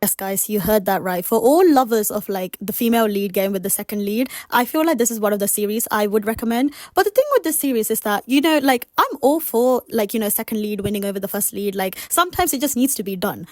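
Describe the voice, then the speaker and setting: A woman with a sore, hoarse voice chats casually about a comic she read, rushing through her points and circling back with filler words. Her pitch sits in a middle range. The recording is dry and close, with no room sound behind her. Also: no room sound. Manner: rambling, filled with "like" and "you know". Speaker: webtoon reviewer, channel host. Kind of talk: casual review chat to camera.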